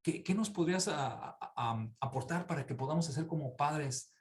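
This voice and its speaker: A man's voice: on the high side, normal speed. A man moderating a panel discussion, asking questions hesitantly and often repeating words while he thinks.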